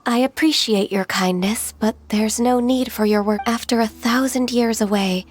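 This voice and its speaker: A woman voicing a gentle, reserved young half-immortal woman in an anime video game, speaking politely and formally in a soft, youthful voice. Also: on the high side, moderate pace, natural voice. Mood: wistful. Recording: loud background music.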